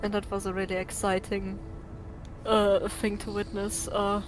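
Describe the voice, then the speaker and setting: A woman's voice: medium pitch, completely flat delivery, unhurried, music in the background. A woman chatting casually on an online voice call during a game stream. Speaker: co-streamer in voice chat. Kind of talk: casual chat. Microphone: Discord voice call with noise cancellation. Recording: noisy online voice chat.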